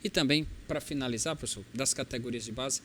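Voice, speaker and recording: A man's voice: medium pitch, fast, slight background noise. A man with a Brazilian accent from Ceará hosting a podcast, enthusiastic and affirming as he asks questions and signs off. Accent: Brazilian Portuguese, Ceará. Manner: enthusiastic, affirming, animated sign-off. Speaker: podcast host. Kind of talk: podcast interview.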